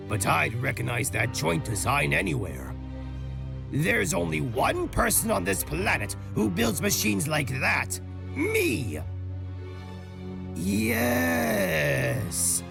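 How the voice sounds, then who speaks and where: A man's voice: on the high side, gravelly, full character voice. A man voicing a scheming older male mad scientist villain, theatrical and gloating. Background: music score.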